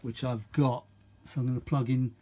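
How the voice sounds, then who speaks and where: A man's voice: mid-range voice, little pitch movement, moderate pace, warm tone, slightly rough. A middle-aged man chatting casually and unscripted as host of a vintage audio video, rambling and thinking aloud, often repeating himself. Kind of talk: casual unscripted hands-on chat.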